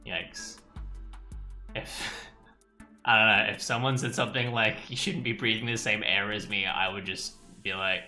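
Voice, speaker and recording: Very bright-voiced man doing game commentary. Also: lowish voice, fairly even intonation, measured pace, soft background music. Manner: off-the-cuff, musing aloud. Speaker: gaming streamer. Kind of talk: casual let's-play commentary.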